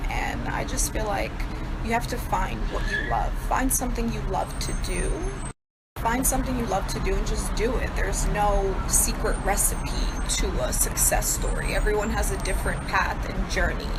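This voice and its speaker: A woman's voice: medium pitch, very noisy. A woman from New York, a native Serbo-Croatian speaker, answering fan questions in a casual, blunt and profane vlog recorded on her phone. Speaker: social media personality.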